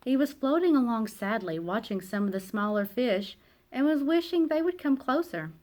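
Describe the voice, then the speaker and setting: A woman narrating a children's picture book aloud in an expressive, dramatic way, with emphatic pauses and hushed phrase endings. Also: a little high. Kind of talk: expressive storybook read-aloud.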